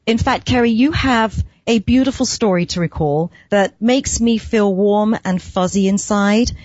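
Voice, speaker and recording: A woman hosts a conversational interview in an appreciative, encouraging manner. Her voice sits at a medium pitch. The recording is dry and a little dull. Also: a little slow, a little mellow, no echo. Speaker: interview show host. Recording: slightly dull, lo-fi audio.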